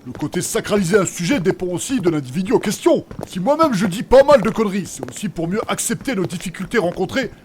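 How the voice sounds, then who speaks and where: A man's voice: high-pitched, animated, quick pace, hardly any pauses, a little hiss or hum. A man playing a crass, touchy, heavyset joker, flippant and argumentative, in a comedic fantasy audio drama. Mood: opinionated. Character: heavyset joking male adventurer, crass and touchy.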